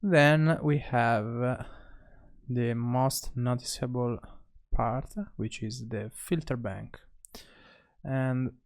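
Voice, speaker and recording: A man narrating a software tutorial as an instructor, speaking haltingly and pausing between short phrases. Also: medium pitch, steady tone, creaky.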